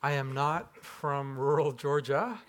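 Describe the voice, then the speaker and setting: A man's voice: medium pitch, slow. A man from Japan, a pastor, giving a conversational sermon with rhetorical questions and light humor in a church. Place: church sanctuary.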